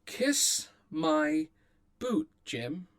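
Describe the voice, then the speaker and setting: A man's voice: fairly high pitch, sing-song, very slow, exaggerated voice. A man acting a bullying, imperious governor in an audio drama, with a domineering, threatening way of talking. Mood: cruel, smug. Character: bullying corrupt male governor, menacing and imperious.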